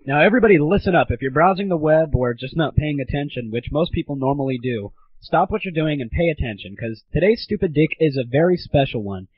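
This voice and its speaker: A man's voice: on the high side, expressive, brisk, hardly any pauses, husky, dry recording. A teenage man hosting a casual comedy monologue on a gaming audio show, irreverent, crude and mock-grandiose, on a lo-fi recording. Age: teens. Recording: dull lo-fi 1990s internet audio.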